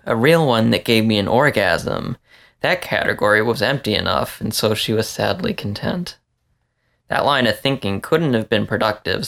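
A man with a creaky voice reading a story aloud as scripted narration. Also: on the low side, fairly bright tone. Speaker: story reader.

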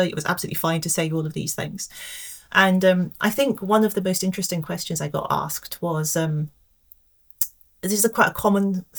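A woman in her forties with an English accent, a pensions actuary answering interview questions in a thoughtful, measured way with frequent soft hesitations. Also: on the low side. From Scotland. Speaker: pensions actuary, podcast guest.

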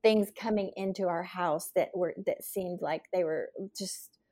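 A woman's voice: fairly low pitch, measured pace, warm tone. A woman in her sixties hosting an interview, chatting and reminiscing casually with many fillers. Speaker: podcast host.

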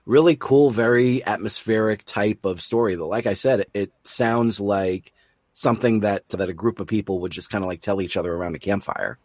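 Husky, low-pitched male interviewer. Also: normal speed, darkish tone, dry recording. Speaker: interview host and producer. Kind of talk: casual interview.

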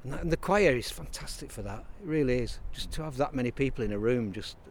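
A man's voice: mid-range voice, animated, fast, a little hiss or hum. A man, talking as an interview guest in a rambling, reflective way with many restarts. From Burnley, Lancashire, England. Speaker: interview guest, writer and songwriter. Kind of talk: casual interview conversation.

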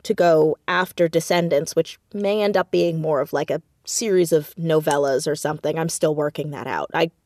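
A woman speaking as a creative guest in a casual interview, animated and self-deprecating, often laughing as she talks. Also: low voice, normal speed, hardly any pauses, no room sound, studio quality. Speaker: podcast guest, audio drama creator and writer.

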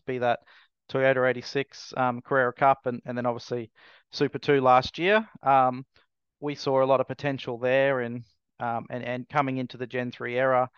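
A man's voice: medium pitch, fairly bright tone, smooth, no room sound, lo-fi sound. A man, answering interview questions as a racing team owner in a hesitant way, full of fillers and restarts.

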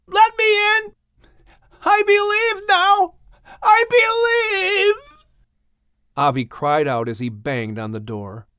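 A man's voice: a little high, dry recording, studio quality. A man reading a dramatic story as an audiobook narrator in a scripted storytelling style.

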